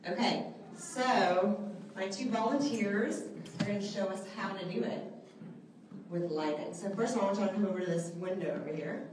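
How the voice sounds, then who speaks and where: A woman with a soft voice leads a live group workshop, chatty and often checking in with the audience with "okay?" and "right?". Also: medium pitch, moderate pace, background noise. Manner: chatty, asks the group questions, many okays and rights. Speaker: trainer teaching a selfie workshop. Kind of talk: live instructional talk to a group. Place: indoor room with a large window, small audience present. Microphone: handheld microphone. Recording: echoey room, voice from far off.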